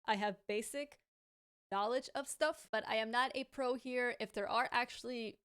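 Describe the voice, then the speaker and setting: A woman's voice: fairly high pitch, moderate pace, a bit bright, clear, resonant tone. A woman streamer answering viewer questions in a casual, frank way, stammering often as she repeats sounds and restarts words. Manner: thoughtful and frank, with light laughs.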